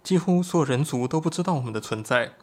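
An actor playing an earnest, excitable young shapeshifting tribesman in a Chinese audio drama, explaining things expressively. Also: on the high side, quick pace, lightly acted.

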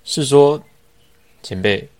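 A man's voice: mid-range voice, lively intonation, slow pace, darkish tone, smooth. A teenage boy playing a sly young man who feigns innocence, in an amateur radio drama. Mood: polite.

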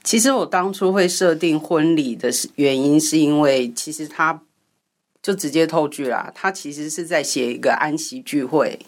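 A woman's voice: deep voice, moderate pace. A woman speaking as an interview guest, explaining her writing in a conversational, storytelling way. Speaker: guest, radio drama writer.

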